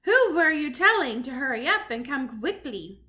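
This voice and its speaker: A commanding, cruel witch leader who snaps every order, as a woman reading a storybook puts her on. Her voice is loud and clipped, sitting high and bright. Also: very animated, moderate pace, exaggerated voice. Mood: suspicious. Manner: snapping, commanding.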